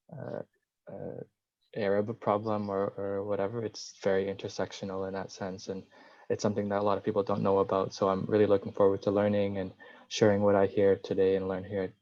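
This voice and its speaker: A man speaking earnestly on a video call, halting with fillers, over dull lo-fi audio. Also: sits low, darkish tone. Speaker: discussion participant. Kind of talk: casual video call remarks. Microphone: computer microphone on a video call.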